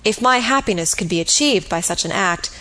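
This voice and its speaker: A woman's voice: mid-range voice, expressive, a little fast, bright, forceful tone, low-quality recording. A woman reading the part of an older baronet proposing marriage, speaking solemnly and earnestly.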